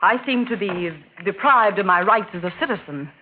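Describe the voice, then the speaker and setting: An actress playing an anguished, wealthy widow, earnest and defensive, in a 1940s radio drama style. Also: female voice, mid-range voice, moderate pace, close to an everyday voice. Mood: hurt, indignant. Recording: scratchy 1940s radio, narrow tinny band.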